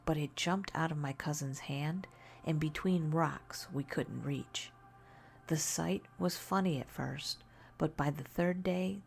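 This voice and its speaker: A woman softly narrating a scary story in ASMR style, hushed and gentle, often slipping into a whisper. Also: low voice, creaky, a little hiss or hum.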